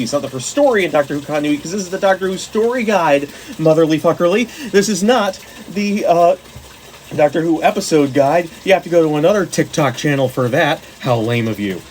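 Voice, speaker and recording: A man's voice: a little high, slight background noise. A man talking casually as the host of a fan video guide, jokey, sweary and prone to rambling off on tangents. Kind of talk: casual rambling video commentary on sci-fi stories.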